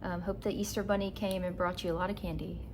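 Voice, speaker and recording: A woman in her late twenties co-hosting a podcast in casual chat, easygoing and quick to laugh. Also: lowish voice, flat delivery, noisy background. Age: 20s. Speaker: podcast co-host.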